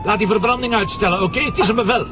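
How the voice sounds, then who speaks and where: An actor playing a gruff, impatient police commissioner in a screen drama, terse and commanding with a Flemish accent. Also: male voice, high voice, very fast, natural voice. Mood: urgent, commanding. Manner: terse, pressing, gives orders. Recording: noisy vehicle ambience.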